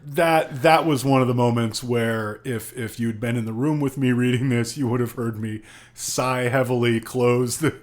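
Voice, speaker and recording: A man's voice: medium pitch, fairly even intonation, normal speed. A man on a podcast panel, chatting casually in a wry, informal way. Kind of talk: casual chat.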